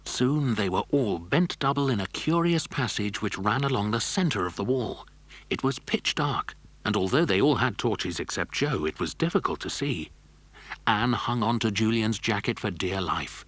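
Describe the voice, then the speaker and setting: A man narrating a scripted children's story in an expressive storytelling manner. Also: fairly low pitch, moderate pace, no echo.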